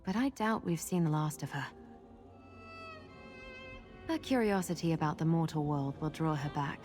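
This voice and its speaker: A woman's voice: low-pitched, normal speed, a little mellow, faint music behind, natural voice. A woman voicing a thoughtful, hopeful heroine in a fantasy game, speaking earnestly and reflectively. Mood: pensive. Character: thoughtful, hopeful young woman freed from prophecy. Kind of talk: scripted fantasy game acting.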